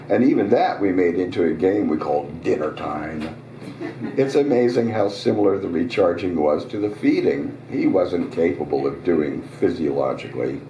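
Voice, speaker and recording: Hoarse low-voiced man reading a story. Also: a little hiss or hum. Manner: relaxed, conversational storytelling with small asides. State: hoarse, voice getting raspy. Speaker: host reading his own story. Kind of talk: live reading of a short story. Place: restaurant, at a live reading. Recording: roomy, distant restaurant recording.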